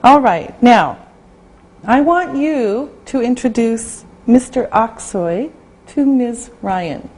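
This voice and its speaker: Woman teacher for a beginners' English lesson, high voice, unhurried, patient and clear. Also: lively intonation, a little mellow. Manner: patient, clear, spells words out. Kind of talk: slow classroom instruction.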